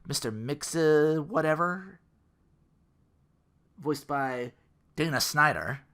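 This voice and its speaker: A man's voice: fairly high pitch, lively intonation, takes it slowly, fairly bright tone. A man hosting a gaming podcast in a casual, rambling, slangy monologue, often acting out imagined quotes. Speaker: podcast host.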